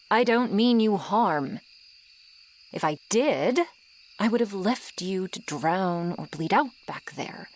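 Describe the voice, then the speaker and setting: A woman's voice: medium pitch, breathy edge, exaggerated voice. A woman voicing a bossy, exasperated but secretly caring female swamp monster in an ASMR audio roleplay. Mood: stern, threatening. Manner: exasperated, bossy, scolding, deliberate.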